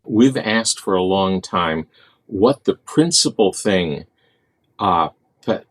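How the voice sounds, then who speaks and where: Male physician interviewee, very low pitch, measured formal phrasing, unscripted commentary.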